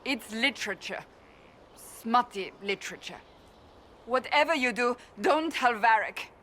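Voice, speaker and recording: A woman's voice: fairly high pitch, expressive, measured pace, bright, forceful tone, a little hiss or hum. An actress voicing a stern woman warrior in a video game, flustered and defensive. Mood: eager. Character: stern woman warrior, secretly a romance reader.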